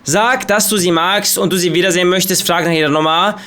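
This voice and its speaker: A man's voice: high voice, steady tone, bright, forceful tone. A man commenting playfully on a game while reading out the choices. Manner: quick, joking, reads choices aloud. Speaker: gaming YouTuber. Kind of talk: let's play commentary.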